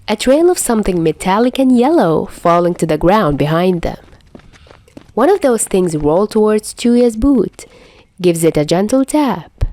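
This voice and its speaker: A woman's voice: on the low side, a little mellow, dry recording. A woman reading a scripted story as an amateur audiobook narrator. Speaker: amateur fan fiction narrator.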